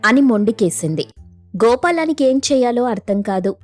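A woman's voice: a little high, lively intonation, normal speed, soft background music. A woman narrating a scripted moral folk tale as the storyteller.

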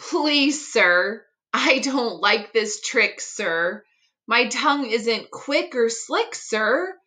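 A woman's voice: a little high, animated, extremely slow, bright, forceful tone, heavily acted. A woman voicing a flustered, politely complaining male creature in a children's picture book read-aloud, pleading and weary. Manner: pleading, tongue-tied protesting.

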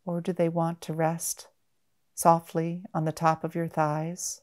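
A woman guiding a meditation in a gentle, measured manner, with long pauses between short phrases. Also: sits low, completely flat delivery. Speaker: mindfulness meditation guide. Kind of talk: guided body-scan meditation.

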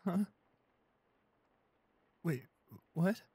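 A man playing a shy, anxious, devoted young boyfriend in an intimate roleplay audio, speaking softly and hesitantly with small stammers. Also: high voice, very slow, slightly rough, no room sound, heavily acted. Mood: tender, shaky. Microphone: close studio condenser microphone.

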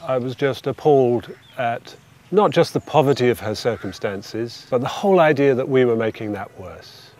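An older man, a retired campaigner, speaking in an earnest, measured way in a documentary interview. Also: medium pitch, a little mellow. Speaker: debt campaigner.

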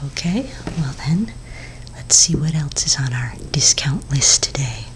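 A middle-aged woman whispering softly as a potion shopkeeper in an ASMR roleplay, speaking slowly with many gentle fillers, close to the mic in a small room. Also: very deep voice, animated, a little hiss or hum, rough recording. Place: small indoor room before shelves of bottles.

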